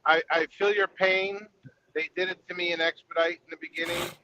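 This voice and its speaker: A man's voice: sits high, flat delivery, brisk, bright, forceful tone. A man talking casually with an aggrieved, complaining manner. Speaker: expedite trucking creator. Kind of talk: casual chat. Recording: dull lo-fi audio with faint noise.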